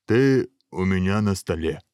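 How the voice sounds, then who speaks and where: Man playing a huge homely ogre, low-pitched. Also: lively intonation, unhurried, warm tone, clear, resonant tone, no room sound, clean studio sound. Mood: matter-of-fact.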